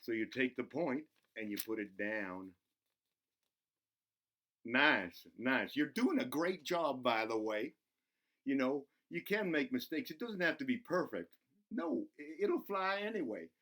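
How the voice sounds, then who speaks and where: An excitable buzzard acted out by a man hosts a children's show with goofy, playful energy. The mid-pitched voice swings widely up and down, breaking into its own sentences and chatting to itself in the third person as it coaxes the kids along. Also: a little slow. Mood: playful, encouraging. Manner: giggly, self-interrupting, talks to himself in third person. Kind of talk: playful craft demonstration for children.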